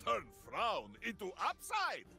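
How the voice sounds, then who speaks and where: Casting: jovial gentle giant warrior, Slavic-style accent, creaky voice, very animated delivery, video game. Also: male voice, high voice, takes it slowly, soft background music, full character voice. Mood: warm, jovial. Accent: Slavic-style foreign. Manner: jovial, gentle, broken-English phrasing. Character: big hearty, kindly strongman warrior hero.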